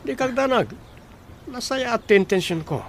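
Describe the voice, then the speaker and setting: An actor playing a young man, a forceful rival in love, in a radio drama with a Filipino accent. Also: extremely high pitch, animated, a little slow, slight background noise. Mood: earnest. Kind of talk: Ilocano radio drama acting.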